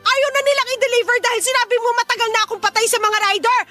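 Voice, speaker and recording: A woman's voice: very high-pitched, fast talker, pushed and bright, soft background music. An actress with a Filipino accent playing an exasperated, confrontational woman neighbour in a radio drama. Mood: hurt, indignant. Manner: indignant, confrontational, demanding.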